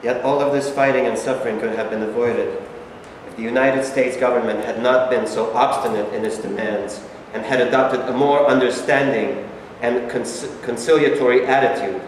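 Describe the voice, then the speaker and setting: In a very echoey hall with faint noise, a man acts a stern, unyielding Japanese wartime patriot. He declaims formally and solemnly in a mid-pitched voice, as in a stage reading. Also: fairly even intonation, moderate pace, close to an everyday voice. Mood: solemn, accusing. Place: reverberant indoor room at a staged reading.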